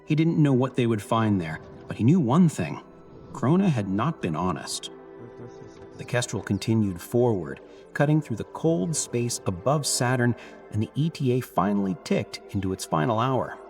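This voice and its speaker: A man reading scripted cinematic science fiction narration in a measured, serious storytelling manner. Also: mid-range voice, sing-song, vocal fry, darkish tone. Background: music bed.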